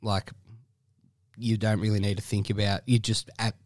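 Man, low-pitched, laid-back chat, laughs a lot, dry close sound. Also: normal speed, no room sound. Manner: relaxed, laughs often, frequent fillers. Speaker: guest musician. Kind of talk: casual podcast chat.

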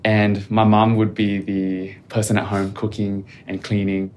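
A man speaking as a podcast guest in a calm, reflective, measured way. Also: lowish voice, slight background noise. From Australia. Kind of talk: casual interview conversation.

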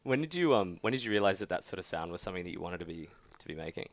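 A man's voice: low-pitched, very animated, racing, no echo. A man hosting a podcast and asking an interview guest questions in an upbeat way. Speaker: podcast host. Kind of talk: interviewing and reading an ad.

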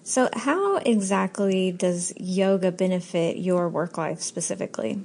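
A woman's voice: on the low side, expressive, a little slow, creaky, a little mellow. A woman hosting a podcast, chatting in an upbeat, enthusiastic way with frequent fillers. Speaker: podcast host. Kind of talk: casual podcast chat.

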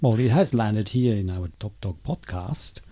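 A man's voice: on the low side, lively intonation, moderate pace, dark tone, no room sound. A man from Switzerland with a Swiss German accent, hosting a conversational podcast interview.

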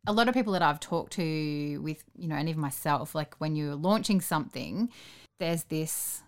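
A woman's voice: low-pitched, lively intonation, normal speed. A woman hosting a casual conversational interview, talking in a reflective, affirming way. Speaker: interviewer, podcast host.

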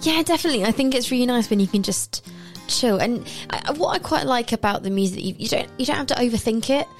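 A woman's voice: a little high, animated, quick pace. A chatty woman hosting a radio show, her voice a little worn from overuse. She laughs often and keeps things light, with faint music playing behind her. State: slightly losing her voice. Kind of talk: casual radio interview.

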